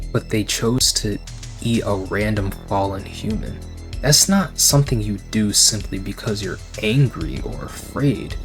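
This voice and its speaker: A man hosting a video essay, moving between scripted commentary and casual asides. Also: on the low side. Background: background music.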